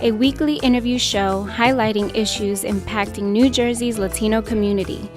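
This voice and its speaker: A woman's voice: on the high side, fairly even intonation, moderate pace. A woman reading a scripted broadcast announcement as a show announcer, on a close studio mic. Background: music.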